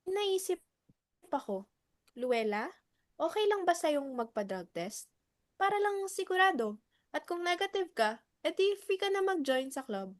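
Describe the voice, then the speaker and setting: A woman in a dramatic reading playing a fair but probing club member with a measured, questioning manner. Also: extremely high pitch, big pitch swings, dry recording, studio quality, full character voice. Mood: tactful, probing.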